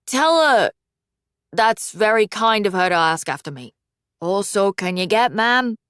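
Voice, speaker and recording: A woman's voice: medium pitch, animated, unhurried, fairly bright tone, clear, resonant tone, no room sound, studio quality. A woman voicing a guilty eighteen-year-old son who hides his troubles behind forced cheer. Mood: guarded. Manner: hesitant, covering with forced cheer. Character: young man of eighteen, guilty and evasive.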